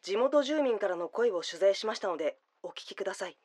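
A woman's voice: medium pitch, expressive, fairly quick, darkish tone, lightly acted. A woman playing a news field reporter in an audio drama, formal and polite with clipped delivery. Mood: urgent, businesslike.

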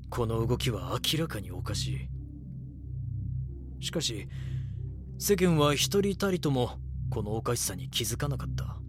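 A man's voice: mid-range voice, natural voice. A man playing a brooding reporter who narrates an audio drama in the first person, somber and reflective. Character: brooding male reporter narrating his regretful recollection.

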